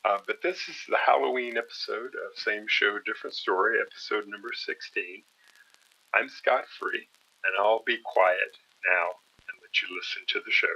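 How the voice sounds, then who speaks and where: A man in his fifties hosting a show, introducing episodes informally in an unhurried, reflective and halting way. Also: fairly low pitch, vocal fry, pushed and bright, husky, rough recording. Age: 50s. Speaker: podcast host.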